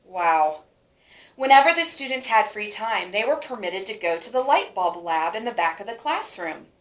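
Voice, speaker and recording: A woman reading a children's storybook aloud with expressive, emphatic phrasing for young listeners. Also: mid-range voice, brisk, roomy sound, low-quality recording. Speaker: teacher reading a picture book aloud.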